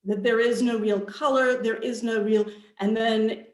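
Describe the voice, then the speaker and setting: Woman, author on a panel, unhurried pace, echoey video call, thoughtful and halting. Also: a little high, fairly even intonation. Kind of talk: panel interview answers about own book.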